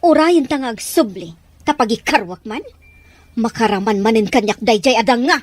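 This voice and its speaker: A woman's voice: fairly high pitch, moderate pace, close to an everyday voice. A woman acting a bossy, scheming, possessive female character in an Ilocano audio drama, whiny and insistent. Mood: scheming, irritated.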